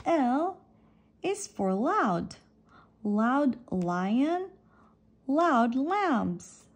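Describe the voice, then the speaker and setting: A woman reading an alphabet picture book aloud for children, deliberately setting apart each letter and phrase. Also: sits high, sing-song, slightly rough.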